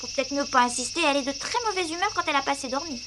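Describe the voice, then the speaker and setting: A woman's voice: high voice, very fast, noisy background, close to an everyday voice. A woman voicing an eager, playful, cajoling young child in a dubbed cartoon, chatty and exclamatory. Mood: impatient. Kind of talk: animated cartoon dub acting.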